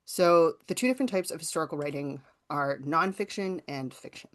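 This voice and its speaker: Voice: mid-range voice, brisk, fairly bright tone. A writing teacher from Ontario with a Canadian accent, giving an informal online workshop presentation in a conversational, explanatory way. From rural southwestern Ontario, Canada.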